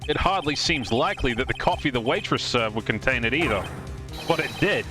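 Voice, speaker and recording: A man acts out a young defense attorney in a courtroom game, earnest and easily flustered. His pitch sits in the middle, in an amateur live dub style, with game music clearly audible behind him. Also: animated, a little fast, natural voice. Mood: insistent.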